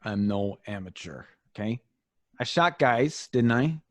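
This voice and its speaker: An actor playing a trigger-happy, hot-headed, slangy gangster sidekick in an old time radio crime drama. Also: male voice, mid-range voice, expressive, unhurried, dry recording, close to an everyday voice. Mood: defensive, agitated. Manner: blustering, slangy, tough-guy.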